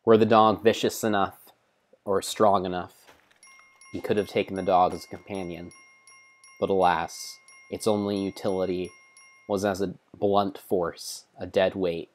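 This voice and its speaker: A man narrating video game play as a solemn, theatrical storybook tale, in measured phrases. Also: deep voice, little pitch movement. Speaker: streamer narrating own gameplay.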